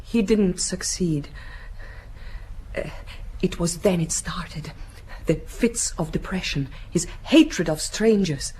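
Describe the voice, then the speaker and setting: An actress playing a frightened, melancholy young gentlewoman in a radio drama, with a hesitant, breathy, dramatic delivery. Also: lowish voice, takes it slowly, a little hiss or hum, poor audio. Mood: anguished, confiding.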